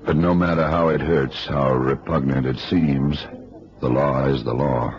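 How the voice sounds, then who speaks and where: Very deep-voiced, shrewd investigator. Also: male voice, steady tone, measured pace. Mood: sober. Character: calm, shrewd, authoritative male investigator. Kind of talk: 1970s radio drama acting. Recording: muffled old radio drama recording.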